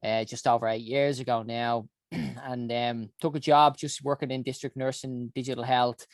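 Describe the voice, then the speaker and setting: A man's voice: medium pitch, fairly even intonation, moderate pace, no echo. A man in his early forties with a Dublin Irish accent, giving an earnest personal talk about his career, with many ums and uhs. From Ireland. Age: 40s. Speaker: health summit founder.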